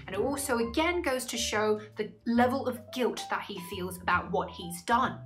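Female tutor, twenties, slightly high voice, articulate and instructive scripted explainer over music. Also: expressive, normal speed. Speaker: English literature tutor. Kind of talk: scripted educational explanation to camera. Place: small indoor room, plain wall.

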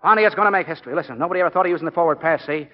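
Actor as fast-talking eager young college athlete. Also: male voice, fairly high pitch, lightly acted. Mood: excited. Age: young adult. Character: earnest, eager young college football player in love. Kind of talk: 1940s radio drama acting. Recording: dull 1940 radio recording.

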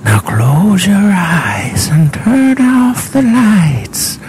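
A man playing a creepy, ghoulish horror host in a sinister, drawn-out whispering manner. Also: sits high, sing-song, a little mellow, a little hiss or hum, exaggerated voice. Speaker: show host. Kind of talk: spooky theatrical introduction.

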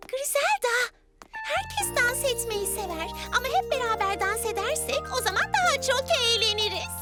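A small cartoon girl with a very high, childlike voice. She is cheerful and helpful, always encouraging like a little leader. Music plays prominently underneath her. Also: very animated, moderate pace. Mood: concerned, encouraging. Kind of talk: animated children's cartoon dub.

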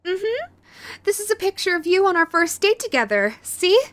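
A woman playing a sweet, clingy yandere girlfriend in an audio roleplay, nervous and halting, gushing and apologetic as she tries to reform. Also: very high-pitched, sing-song, fairly bright tone, dry recording, studio quality, heavily acted. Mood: proud, affectionate. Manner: halting, gushing, apologetic, swinging between eager and sheepish. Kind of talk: romantic audio roleplay, acted to the listener.

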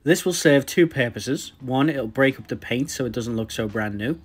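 A young man with a sore throat, quietly talking through how he customizes an action figure. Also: mid-range voice, normal speed, husky, faint music behind. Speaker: toy customizer host. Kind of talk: casual tutorial narration.

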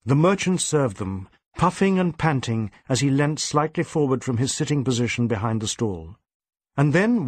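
A male narrator reads scripted literary fiction. His voice sits at a middle pitch and his storytelling is expressive and measured. The recording is very dry. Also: no echo. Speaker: audiobook narrator.

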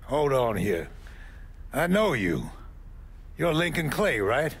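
A man's voice: medium pitch, very animated, slow, vocal fry, slightly rough, full character voice. An actor playing a weary, blunt older American mob man with folksy, streetwise talk in a video game. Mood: wary, confiding. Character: weary, foul-mouthed old mob man. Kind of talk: video game cutscene dialogue.

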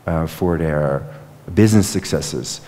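A man's voice: very deep voice, a little slow, mellow, slight background noise. A Dutch man in his thirties, an author answering questions on stage, talking fluently with many fillers and restarts into a headset mic. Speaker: author and former reporter. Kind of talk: onstage conversation answering questions. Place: stage in a lecture hall. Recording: lecture hall with long reverb.

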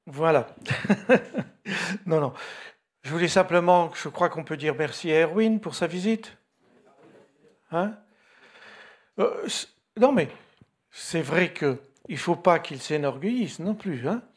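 An older man speaks to a church congregation in a jovial, joking way. His voice is husky and a little high. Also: lively intonation, slow, pauses often. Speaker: service leader. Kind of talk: casual remarks to a congregation. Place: small church hall. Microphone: handheld mic.

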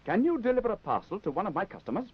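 A bossy puppet store manager, with very animated, commanding delivery. It has the officious snap of a sixties children's show, on a dull old film soundtrack. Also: male voice, sits high, rapid-fire, slightly rough, full character voice. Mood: brisk, bossy. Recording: dull 1960s television film soundtrack, music.

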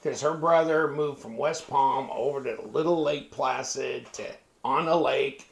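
A man's voice: medium pitch, unhurried, vocal fry. An American man in his sixties chatting casually in a folksy, salty, rambling way. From northern United States. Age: 60s. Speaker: knife channel host. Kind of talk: casual chat. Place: small home office indoors. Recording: roomy, echoey indoor sound.

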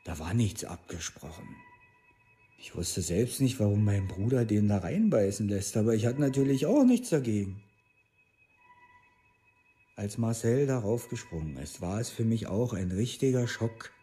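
Actor as very mellow, subdued young man. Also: on the low side, expressive, normal speed, soft background music, close to an everyday voice. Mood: subdued, matter-of-fact. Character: young man flatly recounting his brother's violence. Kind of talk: documentary radio play monologue.

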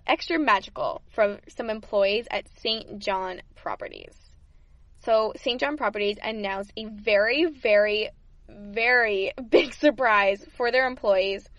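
Young woman hosting a podcast, chatty and upbeat, lots of vocal fry, dry mic. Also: mid-range voice, a bit bright, no echo. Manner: chatty and upbeat, laughs often, repeats words. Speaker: podcast host. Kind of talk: casual good-news chat.

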